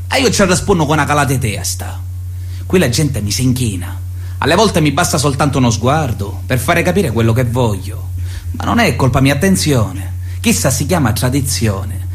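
A man's voice: medium pitch, natural voice. An actor playing a swaggering, menacing Sicilian man of honour in a theatrical monologue, with a Sicilian accent and a boastful, taunting manner. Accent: Sicilian Italian. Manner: boastful, taunting, veiled threats.

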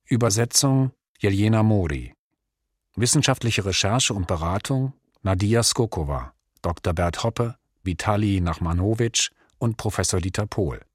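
A man's voice: lowish voice, lively intonation, moderate pace, breathy edge, no echo, studio quality. A man reading radio drama credits as a scripted announcement, in a sober, formal manner.

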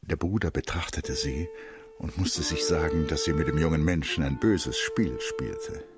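Radio play narrator: bass register, wide intonation, measured, dryly ironic storytelling. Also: male voice, wildly swooping pitch, over loud music, full character voice. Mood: dry, observant. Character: male literary narrator, wryly observant.

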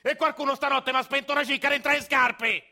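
An actor playing a cranky, foul-mouthed old grandfather who yells in a Roman accent. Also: very high voice, steady tone, brisk, gravelly, voice pushed up, full character voice. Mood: bitter complaint. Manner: yelling, crude, bitter complaining. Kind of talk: radio drama acting.

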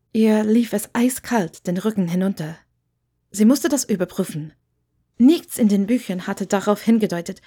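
A woman's voice: fairly high pitch, mellow. A woman reading a scripted story as an audiobook narrator.

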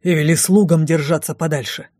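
Storybook king, hot-tempered and authoritative, barking delivery, mellow tone, played by a woman. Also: sits low, fairly even intonation, brisk, no room sound, clean studio sound. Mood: angry, commanding. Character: commanding, hot-tempered king, anxious father.

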